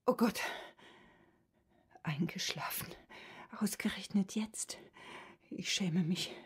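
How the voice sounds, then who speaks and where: An actress playing an exhausted, anxious middle-aged cook in a radio drama, speaking in a hushed, faltering way. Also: female voice, medium pitch, slow, close to an everyday voice. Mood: whispering, anxious. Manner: hushed, faltering, often whispering. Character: exhausted, anxious 44-year-old cook, troubled and ashamed. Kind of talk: German radio drama acting.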